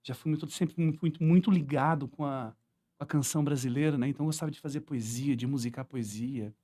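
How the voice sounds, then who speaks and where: A man's voice: mid-range voice, quick pace, warm tone. A man with a Brazilian accent telling stories as a musician guest in a casual interview, often checking in with little tags. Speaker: guest musician, singer-songwriter.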